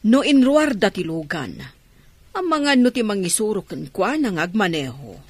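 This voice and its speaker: A woman plays a practical lady of the house in a radio play. Her medium-pitched, Filipino-accented voice moves with lively intonation. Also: unhurried, slightly rough, no echo. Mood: matter-of-fact. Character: matter-of-fact mistress of a household, employer. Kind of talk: Filipino radio drama acting.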